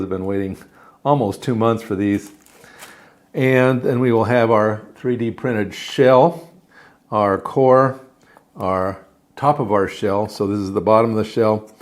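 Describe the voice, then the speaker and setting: Male DIY tutorial host: fairly low pitch, noticeable vocal fry, informal, plain-spoken hands-on explaining. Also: a little mellow. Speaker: host of DIY electronics build videos. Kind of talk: casual hands-on tutorial talk.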